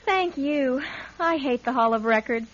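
A detective's dutiful assistant talks in a high voice, casual and a little weary, with dry asides. The radio drama audio is somewhat dull. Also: female voice, unhurried.

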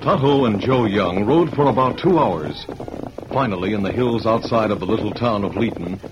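A man's voice: on the low side, lively intonation, normal speed, over music. A man reading scripted narration for an old-time radio drama. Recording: dull band-limited vintage radio recording.

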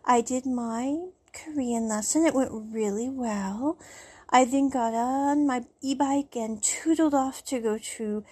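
A woman talks through her day in a casual, chatty monologue, laughing now and then as she goes. Her voice is fairly high and a little husky. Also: slow. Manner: chatty, animated storytelling with laughs. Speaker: vlogger.

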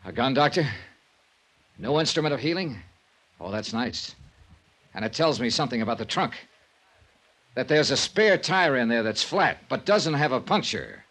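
A man's voice: medium pitch, expressive, moderate pace, pauses often, a bit bright. An American actor playing a hard-boiled, sardonic insurance investigator who narrates his case in clipped 1950s radio style. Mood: sardonic, tense. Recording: vintage radio recording with music.

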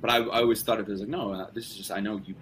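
Young guy on a podcast, really low voice, lots of restarts, hissy noisy recording. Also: fairly even intonation, rapid-fire, fairly bright tone. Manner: rambling, with many restarts and stammered repeats. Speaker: podcast guest. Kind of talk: casual conversation.

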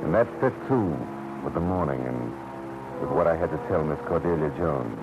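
Casting: tough, wry American gumshoe, low-pitched, brooding first-person delivery, old dull-sounding radio recording. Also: male voice, lively intonation, moderate pace. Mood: somber, wistful. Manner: hard-boiled, clipped, brooding. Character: tough, wry, world-weary private detective, male. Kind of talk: classic radio noir first-person narration and dialogue. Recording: muffled vintage radio recording, loud music behind.

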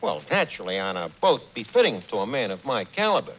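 An actor playing a brash, boastful, slangy tavern manager in old-time radio comedy. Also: male voice, mid-range voice, very animated, fast talker, lightly acted. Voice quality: colloquial, slangy delivery. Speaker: bar manager in a radio sitcom. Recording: dull, noisy old radio recording.

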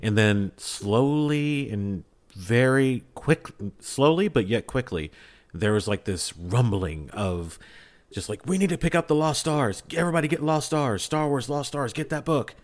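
A man's voice: medium pitch, lively intonation, unhurried. A man in a casual podcast fan discussion, speaking fervently and emphatically as he urges his points on listeners. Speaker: podcast panelist.

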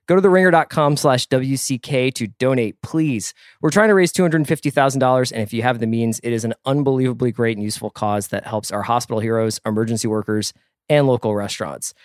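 A man's voice: medium pitch, no echo, clean studio sound. An American man hosting a podcast in a casual, jokey, exuberant manner. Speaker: podcast host. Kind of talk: casual chat and sponsor read.

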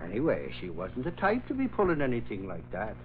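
A man acting an excitable, blustery newspaper reporter with slangy talk in an old-time American radio drama. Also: mid-range voice, a little fast, soft background music. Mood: animated, gossipy. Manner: blustery, exasperated, colloquial. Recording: muffled, hissy old radio recording.

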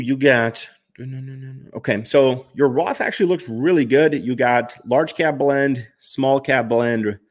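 Chatty man in his thirties hosting. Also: mid-range voice. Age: 30s. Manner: chatty and upbeat, many fillers and restarts. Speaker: finance livestream host. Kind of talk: casual live-stream Q&A.

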